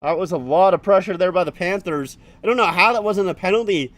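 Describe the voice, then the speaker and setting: Bright high-voiced man calling hockey. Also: dry recording. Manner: rapid running play-by-play, swears, chats with viewers. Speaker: streamer hosting a hockey watch-along. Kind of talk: live play-by-play commentary.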